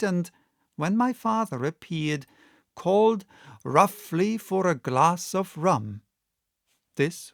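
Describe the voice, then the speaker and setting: A man presents and reads an audiobook at a slow, deliberate pace. His voice sits slightly high for a man and has a noticeable creak, recorded close and dry. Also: dry recording. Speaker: audiobook reader and channel host. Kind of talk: casual introduction and expressive audiobook narration.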